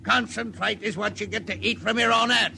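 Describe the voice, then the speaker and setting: Gravelly, spiteful ship's officer. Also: male voice, high voice, steady tone, a little fast, pushed and bright, exaggerated voice. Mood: bullying. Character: bullying, spiteful ship's officer, craven when threatened. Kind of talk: 1950s radio drama acting. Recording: very noisy 1950s radio recording.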